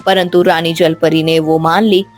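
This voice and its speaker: A woman's voice: deep voice, flat delivery, unhurried, faint music behind. A woman reading scripted narration for a children's cartoon story.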